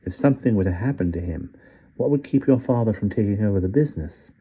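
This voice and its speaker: A man voicing a short, slight, sinister old man who speaks softly with a faint British accent. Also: lowish voice, lively intonation, fast. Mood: coaxing menace. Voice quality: soft, smooth and coaxing. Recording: dull lo-fi recording.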